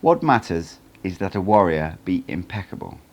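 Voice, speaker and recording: A man's voice: low-pitched, very animated, darkish tone, heavily acted. Man playing a cryptic old sorcerer teacher. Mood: solemn, instructive. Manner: cryptic, blunt, measured. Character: old sorcerer teacher, jovial, mysterious, teasing, wise.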